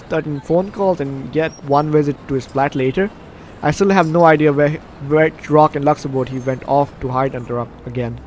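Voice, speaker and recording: A man doing let's play commentary, reading game text aloud in an animated, slightly stumbling way. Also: a little high, slight background noise. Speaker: video game streamer. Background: game music.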